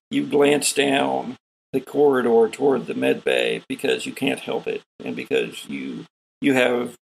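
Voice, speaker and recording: A man's voice: medium pitch, creaky, breathy edge, low-quality recording. A man running a tabletop sci-fi horror game as host, narrating scenes and calling for dice rolls.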